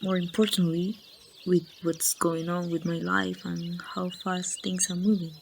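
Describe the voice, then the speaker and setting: A woman playing a grieving young woman confiding in her lost best friend in a halting, wistful ASMR roleplay monologue. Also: on the low side, little pitch movement, creaky, background noise. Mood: wistful, teasing. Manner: slow, halting, wistful storytelling. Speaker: amateur voice actor.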